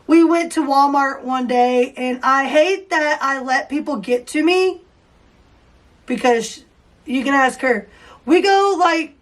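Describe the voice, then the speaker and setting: Hoarse high-voiced woman, slow podcast chat. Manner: rambling, many fillers and restarts. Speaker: podcast host. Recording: voice distant from the mic.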